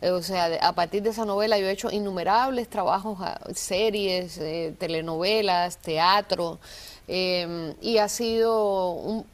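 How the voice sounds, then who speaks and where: A woman from Cuba, an actress, chatting in an interview about her career, thoughtful and unhurried, with many small pauses. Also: mid-range voice. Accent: neutral Colombian-trained Spanish with Cuban traces. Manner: warm, reflective, many fillers and pauses. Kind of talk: casual interview talk.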